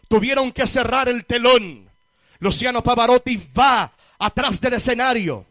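Puerto rican guy preacher, really high voice, emphatic, repeats phrases for effect, dry sound. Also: a bit bright, no echo. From Puerto Rico. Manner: emphatic, rhetorical repetition, addresses listeners directly. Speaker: guest preacher. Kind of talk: sermon to a large congregation.

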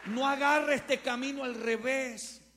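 A Salvadoran man preaching a fervent sermon as pastor, building to shouted repetitions, recorded live before a congregation. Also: extremely high pitch, fairly even intonation, a little slow, some chatter behind, a little hiss or hum. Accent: Salvadoran Spanish. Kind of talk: Pentecostal-style sermon.

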